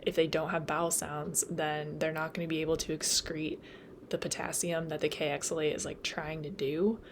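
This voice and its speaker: Young woman, low voice, hoarse from losing her voice, chatty tutor, faint hiss behind. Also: little pitch movement, normal speed. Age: young adult. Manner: chatty, lots of "like" and "um", laughs at own slips. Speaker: nursing student tutor. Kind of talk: casual study lecture.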